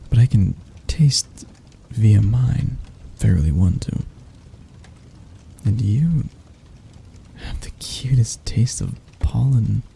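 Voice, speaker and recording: A predatory creature of the night acted by a man murmurs as a possessive lover in an ASMR roleplay. The voice is low, very dark and mellow, with gravel in it. It teases tenderly and with quiet menace. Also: a little hiss or hum, exaggerated voice. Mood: seductive, sinister. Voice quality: husky, breathy, gravelly low voice. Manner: slow, teasing murmur with soft chuckles and near-whispers. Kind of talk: intimate ASMR roleplay acting.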